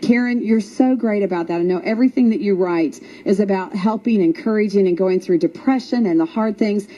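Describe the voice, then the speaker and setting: A woman's voice: medium pitch, fairly quick, dark tone, highs cut off. A woman hosting a radio show in a chatty, encouraging, conversational way. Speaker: radio host.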